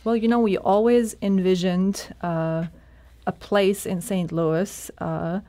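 A woman who directs a university research center, speaking in a measured, earnest way during a radio interview. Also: mid-range voice, fairly even intonation, warm tone.

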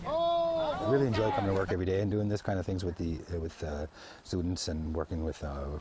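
A man's voice: low-pitched, fast, heavy background noise. A man, an outdoor educator, talking outside in an encouraging, casual way. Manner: animated, encouraging. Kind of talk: casual instruction. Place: outdoors in a clearing.

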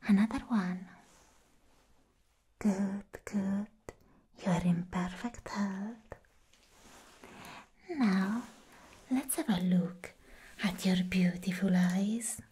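A woman playing an attentive, slightly mad doctor in a soft, soothing, close-up ASMR roleplay whisper. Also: medium pitch, slightly rough, heavily acted. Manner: slow, soothing, coy. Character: attentive, smooth-talking woman doctor with sly madness. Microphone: close microphone.

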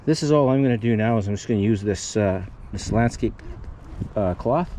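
A man hosting a casual hands-on repair tutorial, plain-spoken and folksy, with a halting delivery. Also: fairly low pitch, dark tone, slight background noise. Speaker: host of DIY renovation channel. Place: outdoors under a raised mobile home.

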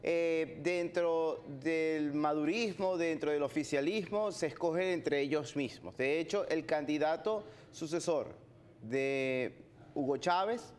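A man's voice: fairly high pitch, slow pace. A man, hosting a TV interview in a formal, fluent manner. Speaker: host. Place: TV studio.

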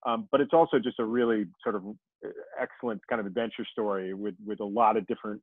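A man's voice: on the low side, fairly even intonation, fast, no echo. A man in his forties hosting a podcast interview over a video call, asking friendly, rambling questions with restarts. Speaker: podcast host. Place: room with bookshelves. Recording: dry tinny phone-like call.

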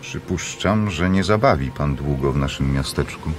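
An actor playing a secretive, intense doctor in a radio drama, speaking in a measured, formal and persuasive way. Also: male voice, a bass voice, animated, soft background music. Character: secretive, intense doctor studying occult visions.

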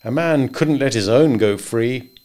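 A man voicing a weary, solemn middle-aged man who speaks in a tired, quiet way. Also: mid-range voice, measured pace, lightly acted. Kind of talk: audiobook character voice.

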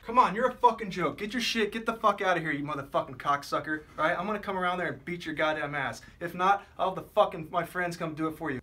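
A man's voice: fairly high pitch, fast talker, hardly any pauses, pushed and bright. A young man playing a bullying, foul-mouthed radio station boss who berates his employee in an amateur skit. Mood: furious. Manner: aggressive, berating. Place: small radio studio.

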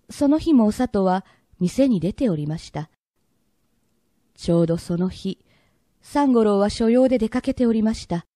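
Woman telling an old-time japanese radio drama, very bright crisp edge to her voice, dry studio sound, polite measured storytelling. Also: medium pitch, dry recording. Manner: polite, measured narration in old-fashioned honorifics. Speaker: narrator.

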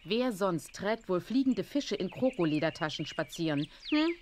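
A woman in a German radio play acting a brisk, urgent aunt with a dry, commanding manner. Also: fairly low pitch, animated, a crowd in the background, lightly acted. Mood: brisk, wry. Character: brisk, wry adult aunt, tea-shop owner.

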